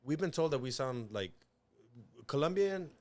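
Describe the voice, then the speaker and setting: A man's voice: a little high, animated, moderate pace. A man chatting casually as a podcast guest and band member, laid-back, peppering his talk with swearing and "like". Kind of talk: casual chat.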